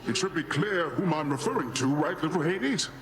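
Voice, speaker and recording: A man's voice: on the high side, expressive, normal speed, very noisy. A man playing a jovial, boastful sea god uncle in a video game, with grandiose, playful and theatrical delivery that is goofy yet haughty. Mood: breezy, teasing. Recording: loud game music behind the voice.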